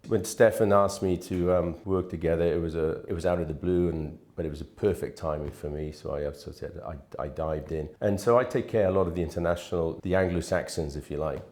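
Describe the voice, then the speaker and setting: Deep-voiced man in his fifties. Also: fairly even intonation, fairly quick, few pauses, darkish tone. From Wales. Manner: chatty, rambling with frequent restarts. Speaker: interviewee, real estate manager. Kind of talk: relaxed anecdotal storytelling.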